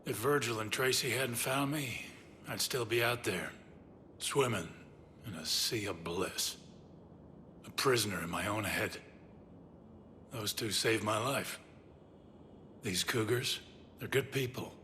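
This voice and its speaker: A man playing an earnest, weary resistance member in a video game, speaking gravely in halting, plain-spoken phrases. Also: fairly low pitch, pushed and bright. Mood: haunted. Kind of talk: dramatic video game cutscene acting. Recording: long hall reverb, faint noise.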